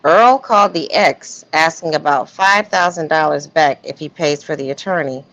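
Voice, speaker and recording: Female live stream host, casual slangy commentary, slightly low voice, muffled lo-fi sound. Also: moderate pace, fairly bright tone. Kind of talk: casual commentary on text messages. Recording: dull, lo-fi stream audio.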